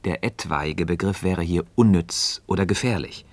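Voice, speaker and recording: An actor playing a calm, cryptic Freemason in a radio dialogue, speaking formally and deliberately. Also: male voice, deep voice, no echo. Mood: earnest, assured. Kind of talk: German radio play dialogue.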